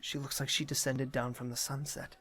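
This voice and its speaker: A man playing a composed, earnest young prince in an audio drama, with a measured, formal way of speaking. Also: mid-range voice, flat delivery, a bit bright, soft background music, natural voice. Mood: smitten, hushed.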